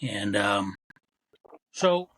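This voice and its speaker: Husky-voiced man in his sixties with a Connecticut accent. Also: fairly low pitch, very animated, drawn-out words, fairly bright tone. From Connecticut, USA. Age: 60s. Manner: easygoing, chuckling, telling his life story. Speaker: guest, retired Marine officer. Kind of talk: casual interview conversation.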